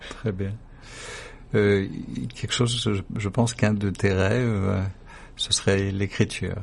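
A man's voice: lowish voice, little pitch movement, slow pace, vocal fry, slightly rough. A man hosting a radio interview, friendly and joking, with short encouraging replies. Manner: friendly, jokey, short back-channel replies. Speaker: radio host.

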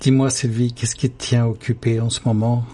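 A man's voice: medium pitch, little pitch movement, normal speed, dark tone, slight background noise. A man hosting an interview, asking questions and giving short encouraging replies. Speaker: host.

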